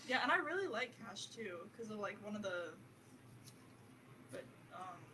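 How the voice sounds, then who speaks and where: A woman's voice: mid-range voice, big pitch swings, normal speed, noisy background. A woman chatting casually as a participant in a group book discussion. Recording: background voices behind speech.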